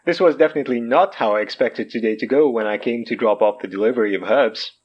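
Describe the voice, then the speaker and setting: A man playing a smooth, hammy mystic guru in an audio drama, with grandiose, theatrical delivery. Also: mid-range voice, fast, lo-fi sound, natural voice. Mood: amused. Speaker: self-styled guru and herb seller. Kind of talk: audio fiction podcast acting.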